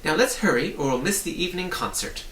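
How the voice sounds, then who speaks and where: An eager storybook boy, played by a man, pitched up and full of cheerful wonder. He explains things with great emphasis. The recording is roomy and distant, with some hiss. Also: expressive, fast talker, pushed and bright. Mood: brisk, urging. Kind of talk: audiobook character voice.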